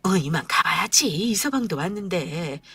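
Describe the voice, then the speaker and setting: A woman voicing a gentle mother in her sixties who soothes and apologizes, with slow old-fashioned speech. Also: lowish voice, expressive, breathy edge, no echo, exaggerated voice. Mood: placating. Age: 60s. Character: elderly mother, gentle and anxious to keep peace.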